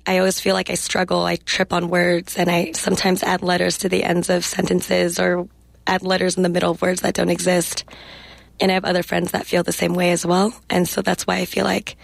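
A young woman guest speaking reflectively in a radio interview and reading her poetry in a measured way. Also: lowish voice, gravelly, dry recording, poor audio. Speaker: guest poet.

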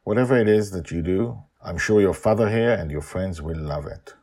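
Guy putting on a calm kind craftsman, low husky voice, soft and encouraging. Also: moderate pace. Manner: gentle and encouraging. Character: calm kindly adult man, patient craftsman.

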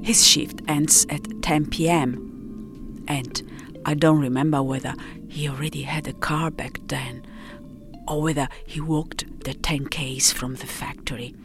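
A woman narrating her own scripted first-person story in a reflective, unhurried manner with deliberate pauses. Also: low voice, fairly even intonation, over music.

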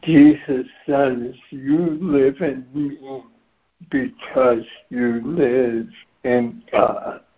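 A man losing his voice gives a reflective spiritual share on a group call, measured and clearing his throat now and then. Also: medium pitch, steady tone. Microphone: phone on a conference call. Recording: dull lo-fi conference call line.

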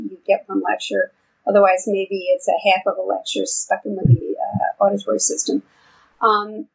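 An older woman with a New York accent, explaining her research in a relaxed interview with frequent ums. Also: medium pitch, gravelly, breathy edge. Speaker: vestibular researcher and therapist. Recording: dull lo-fi audio.

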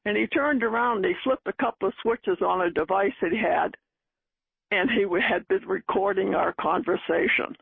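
A woman's voice: mid-range voice, normal speed, gravelly, slightly rough, no echo. A woman in her eighties from Boston, chatty, telling a story from her past and laughing at herself in an oral history recording. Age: 80s. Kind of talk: storytelling recollection. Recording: tinny phone-like archive recording.

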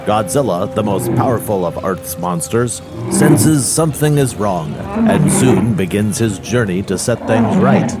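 A low-voiced actor as a solemn male storyteller narrates a monster radio play with dramatic weight. Loud music runs behind him. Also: lively intonation, measured pace.

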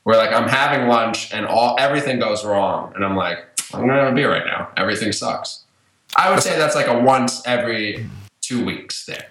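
Bright-voiced young man in a roomy echo. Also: medium pitch, animated, brisk, slight background noise. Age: early 20s. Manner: loose, chatty, filler-heavy with "like". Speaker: podcast co-host. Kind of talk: casual podcast banter. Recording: roomy, echoing sound.

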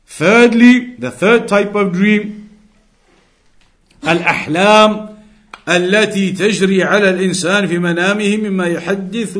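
A man's voice: sits high, fairly bright tone. A man giving a religious lesson, explaining point by point in a didactic way and repeating key phrases for emphasis. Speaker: religious teacher.

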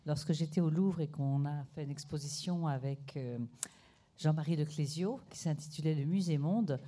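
Dark-voiced older woman introducing a guest. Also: sits low. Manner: hesitant, many pauses and fillers. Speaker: curator introducing a speaker. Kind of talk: spontaneous introductory speech.

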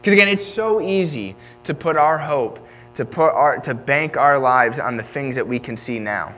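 A man's voice: mid-range voice, moderate pace, a bit bright, soft background music. A man in his late twenties preaching a sermon in an earnest, conversational way, often restarting his sentences. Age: 20s.

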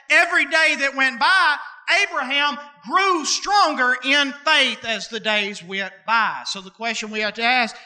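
A man's voice: extremely high pitch, pushed and bright. A man in his thirties preaching a sermon as pastor, folksy and conversational, stretching out key words for emphasis and slipping into casual dialect. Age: 30s. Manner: preaching cadence with drawn-out emphasis.

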